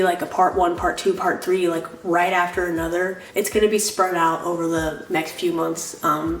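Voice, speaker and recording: Young woman, congested and nasal, chatty rambling vlogger, low-ish voice in an echoey room. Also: a little hiss or hum. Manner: rambling, lots of "like" fillers, apologetic. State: nasal from a cold. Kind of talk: casual chatty vlog.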